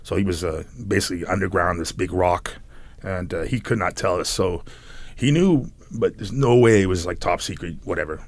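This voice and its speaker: Gravelly low-voiced man from San Diego. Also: moderate pace, dry recording. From San Diego, United States. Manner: rambling, laughs between phrases. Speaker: guest. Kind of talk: casual storytelling.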